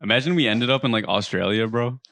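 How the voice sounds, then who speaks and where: A man's voice: mid-range voice, quick pace, fairly bright tone. A man chatting on a casual podcast, blunt and calling the others bro. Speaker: podcast guest.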